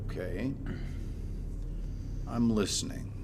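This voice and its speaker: An actor playing a calm, commanding government interrogator in a military game, measured, blunt and dryly sardonic. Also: male voice, fairly low pitch, heavy background noise. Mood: firm, businesslike. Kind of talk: cinematic military game acting.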